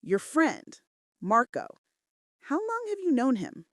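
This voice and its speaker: A woman's voice: sits high, wildly swooping pitch, slow, dry recording, clean studio sound, pitched above the performer's own voice, heavily acted. A woman voicing a controlling, brooding mafia boss in terse, commanding romance audiobook dialogue. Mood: probing, guarded.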